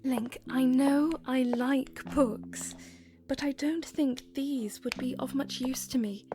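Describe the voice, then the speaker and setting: A woman's voice: high voice, steady tone, slow. A woman voicing a young princess who is curious and composed, speaking in formal, thoughtful phrases. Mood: puzzled. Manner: thoughtful, formal phrasing, stammers when flustered. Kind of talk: fan audio drama. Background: music.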